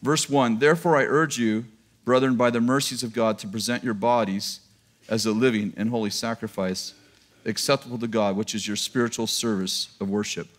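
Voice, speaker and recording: A man's voice: medium pitch, steady tone, moderate pace. Joking middle-aged man preaching a sermon. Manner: conversational and joking, laughing at his own lines. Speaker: pastor. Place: large church auditorium with a live congregation. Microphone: headset mic.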